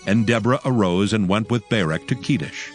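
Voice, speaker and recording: Male Bible narrator, lowish voice, solemn and measured, light musical underscore. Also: faint music behind. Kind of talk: dramatized scripture narration.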